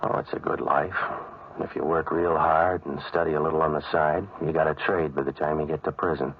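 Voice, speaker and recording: An American actor playing a cynical waterfront odd-job man in a 1940s radio noir, delivering sardonic, deadpan wisecracks and narration. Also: very deep voice, fairly quick, breathy edge, full character voice. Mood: wry, world-weary. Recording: muffled, noisy old radio recording.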